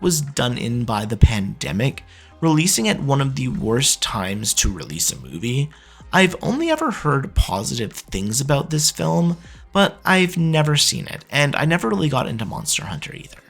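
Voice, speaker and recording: A man's voice: a little high, wildly swooping pitch, normal speed, creaky, husky, soft background music. A man giving opinionated, emphatic review commentary as a video host.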